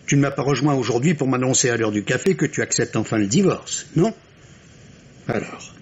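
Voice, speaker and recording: An actor playing a cool, impatient, middle-aged French surgeon in a formal, exasperated manner, in a 1950s-style radio drama. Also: male voice, medium pitch, animated, brisk, a little mellow, lightly acted. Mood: weary, cold. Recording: dull, noisy old radio recording.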